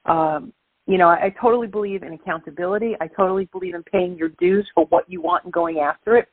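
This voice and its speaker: A middle-aged woman with a Brooklyn accent speaks over a tinny phone line. Her voice is husky. She talks with energy and stacks up emphatic repetitions. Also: lowish voice, quick pace, dry recording. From Brooklyn, New York. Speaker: guest speaker. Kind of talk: phone-in interview, casual.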